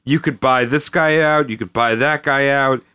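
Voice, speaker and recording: A man's voice: mid-range voice, steady tone, moderate pace, pushed and bright, clean tone. A man co-hosting a podcast, chatting casually with jokes, swearing and lots of fillers. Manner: joking, swearing, filler-heavy. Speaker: podcast co-host. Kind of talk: casual chat.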